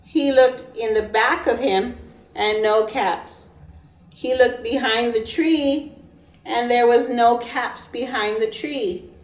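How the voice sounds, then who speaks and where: Casting: woman teacher, children's read-aloud, slow and emphatic delivery, medium pitch, echoey recording. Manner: emphatic, pausing to ask listeners questions. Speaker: preschool teacher. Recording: roomy echo, distant microphone.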